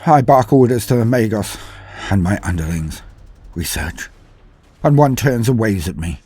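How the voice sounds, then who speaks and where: A man playing a grim, doomed super-soldier officer, telling a war story in a solemn, measured, dramatic way with hushed phrase endings. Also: fairly low pitch, animated, slightly rough, no room sound. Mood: urgent, grim. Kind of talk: dramatic first-person scripted war-story narration.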